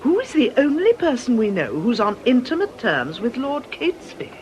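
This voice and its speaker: Shrewd, animated nun. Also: female voice, on the high side, lo-fi sound, slight background noise. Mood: confiding, wry. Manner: brisk, wry, confiding. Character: shrewd, lively, observant nun, amateur sleuth. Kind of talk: radio drama acting. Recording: crowd bustle behind the voice.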